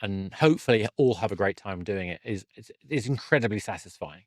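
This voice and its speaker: Low-voiced man hosting a dry-sounding podcast. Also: animated, brisk, darkish tone, no echo. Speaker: podcast host and interviewer. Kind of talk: casual podcast conversation.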